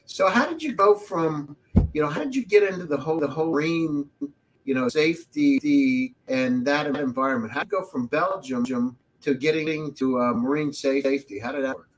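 A man's voice: on the high side, normal speed, poor audio. A man hosting an interview over a video call, often repeating and restarting words as he asks questions. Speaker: podcast host.

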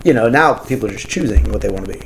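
A man's voice: on the low side, expressive, racing, low-quality recording. New York man, quippy talker, faint room noise. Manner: joking, interjecting. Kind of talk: casual group chat.

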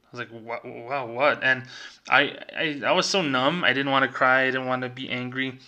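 A man's voice: mid-range voice, steady tone, normal speed, sharp tone, muffled recording. A middle-aged man speaking as a vlogger, telling a personal story in a casual, conversational way and acting out remembered dialogue.

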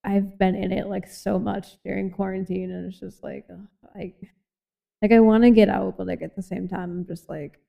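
A woman's voice: medium pitch, little pitch movement, moderate pace, vocal fry, muffled recording. A young woman from Boston with a light Boston accent, hosting a casual podcast chat and rambling with many fillers. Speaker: podcast host.

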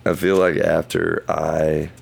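A man hosting a casual Bible study podcast, thinking aloud in loose, halting phrases, his voice hoarse as if he were losing it. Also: very deep voice, little pitch movement, slight background noise. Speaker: podcast host.

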